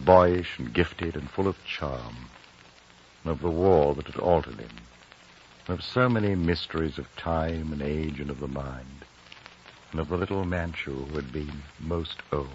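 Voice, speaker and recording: A reflective, earnest gentleman in an old radio play. His male voice is deep and moves expressively, on a dull recording with some hiss. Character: grave gentleman narrator, inquisitive and wistful. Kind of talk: 1950s radio drama acting and narration.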